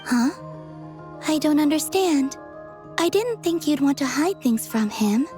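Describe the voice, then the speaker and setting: High, gentle, guileless young woman. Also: lively intonation, measured pace, soft background music. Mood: innocently candid. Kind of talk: video game voice acting.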